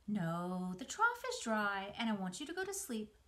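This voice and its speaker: A woman's voice: mid-range voice, very animated, normal speed, a bit bright. A woman voicing a wise female spider from a storybook, comforting and motherly, giving firm, reassuring instruction.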